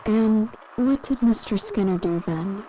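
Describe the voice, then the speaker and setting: A woman as a mellow, patient interrogator, noisy tape. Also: mid-range voice, close to an everyday voice. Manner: measured, controlled, gently probing. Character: calm, patient female agency interrogator. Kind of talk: audio drama acting, formal interview. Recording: clearly audible background noise.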